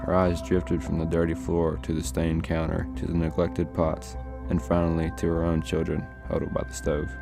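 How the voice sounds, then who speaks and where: A man playing a measured storybook narrator, reading scripted narration in a radio play. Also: low voice, monotone, creaky, over music.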